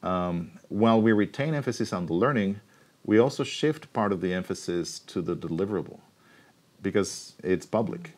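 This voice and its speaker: A deep-voiced man in his forties explains his work at an unhurried, thoughtful pace. He pauses and restarts as he thinks. He is recorded on a clip-on mic in a studio. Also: expressive, a little mellow. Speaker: university researcher, keynote guest. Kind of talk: studio interview answers. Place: TV studio set. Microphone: lapel mic.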